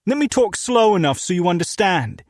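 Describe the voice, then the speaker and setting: A man voicing a hostile, armed male store owner with a British accent, talking loudly and threateningly. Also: high-pitched, very animated, moderate pace, dry recording, studio quality, voice pushed up. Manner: loud, threatening. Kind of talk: audiobook character voice.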